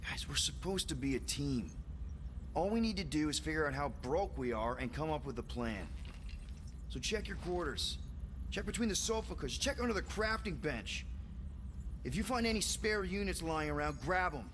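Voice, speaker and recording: A man's voice: on the high side, big pitch swings, normal speed, a bit bright. A man playing an upbeat, roguish young space crew leader in a video game, casual, glib and coaxing. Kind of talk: video game cutscene acting. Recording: game ambience noise behind voice.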